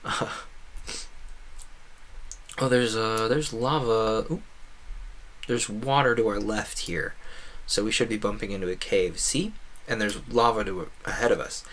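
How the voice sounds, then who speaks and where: A man's voice: fairly low pitch, unhurried, long pauses, fairly bright tone. A man giving casual gameplay commentary as a streamer, thinking aloud in a wordy, meandering way. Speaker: game streamer.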